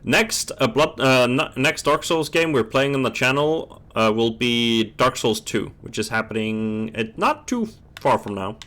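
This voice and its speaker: Animated male game streamer. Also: medium pitch. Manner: halting read-aloud, stumbling and rereading words. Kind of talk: casual gaming stream, reading game text aloud.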